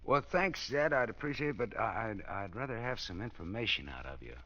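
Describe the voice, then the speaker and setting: Tough detective narrator, deep dry delivery, lo-fi old radio recording. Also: male voice, expressive, brisk, pushed and bright. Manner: dry, wry, low-key. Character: wisecracking male private detective, dry and tough. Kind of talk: 1940s radio acting and narration.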